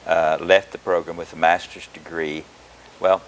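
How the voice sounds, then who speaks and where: Older Oklahoma man, very low voice, unhurried guest answers with frequent pauses, faint tape hiss. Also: level tone, rough recording. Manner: measured, hesitant with many filler pauses. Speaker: guest, retired education professor. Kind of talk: interview answers.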